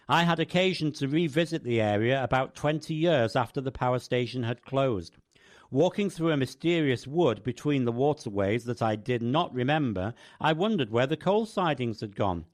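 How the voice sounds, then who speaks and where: A man narrating a scripted first-person radio piece as its host. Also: medium pitch.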